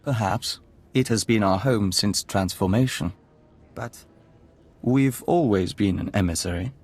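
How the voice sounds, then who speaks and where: An actor playing a calm, devoted hive-mind envoy in a video game, speaking formally and in a measured, serene way. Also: male voice, lowish voice. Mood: polite, thoughtful. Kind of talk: video game cutscene acting.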